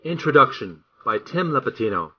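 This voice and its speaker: A man reading a book introduction aloud as narration, with deliberate, emphatic phrasing. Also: medium pitch, big pitch swings, breathy edge, dull audio.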